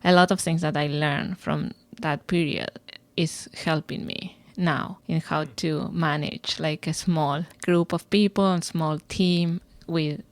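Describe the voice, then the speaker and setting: A woman in her forties with an Argentine Spanish accent answers interview questions thoughtfully, dropping "eh" between her thoughts. Her voice sits a touch low. The recording is close and dry. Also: fairly even intonation, takes it slowly, no room sound. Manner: thoughtful, with "eh" fillers and restarts. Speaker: guest scientist.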